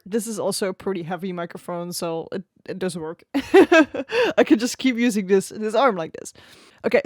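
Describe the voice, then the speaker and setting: Woman, casual gear review, easygoing and laughing, close studio mic, dry sound, mid pitch. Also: darkish tone, dry recording. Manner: chatty and easygoing, with frequent laughs. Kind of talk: casual tech review talk. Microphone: close XLR studio microphone on an arm.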